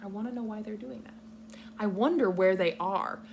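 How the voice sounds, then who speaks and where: A woman leading a read-aloud lesson for young children, speaking patiently in simple, deliberate, instructional phrases. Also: medium pitch, creaky, slight background noise. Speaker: kindergarten teacher reading a picture book aloud.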